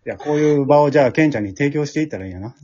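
A man's voice: a little high, expressive, racing, warm tone, husky, no echo. A man with a Kansai accent chatting casually as a co-host after a show. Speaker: podcast co-host of an amateur drama group. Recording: dull lo-fi recording.

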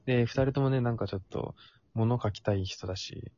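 A man's voice: medium pitch, a little fast, mellow, no echo. A man co-hosting an online radio talk show in a casual two-person chat. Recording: dull lo-fi audio under clear background music. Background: background music.

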